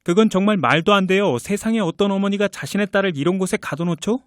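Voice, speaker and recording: A man's voice: high-pitched, fast, no room sound, clean studio sound, close to an everyday voice. A man voicing a confident, kind young prince in a children's fairy tale, earnest and assured. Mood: indignant, earnest.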